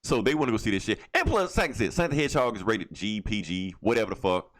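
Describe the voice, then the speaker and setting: A man's voice: lowish voice, lively intonation, quick pace. A man co-hosting a podcast, talking casually and bluntly, with plenty of swearing and an irreverent manner. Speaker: podcast co-host. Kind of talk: casual, profane movie chat.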